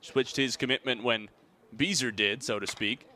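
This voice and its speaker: Young man, American accent, baseball play-by-play, bright forceful tone, articulate, measured phrasing. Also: mid-range voice, fairly even intonation. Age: young adult. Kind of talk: sports play-by-play commentary.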